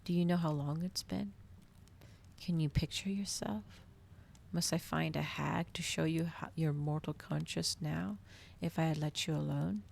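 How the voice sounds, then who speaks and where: A woman's voice: deep voice, steady tone, unhurried, creaky, a little mellow, a little hiss or hum, heavily acted. A woman voicing a domineering, cruel male vampire in a storybook reading, taunting and theatrical. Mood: insistent.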